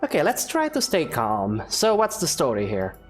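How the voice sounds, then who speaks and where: A young traveler in a video game. She speaks low, with dry, sardonic delivery that still moves around in pitch. Music is clearly audible underneath. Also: moderate pace. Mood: puzzled, matter-of-fact. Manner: deadpan, sardonic asides.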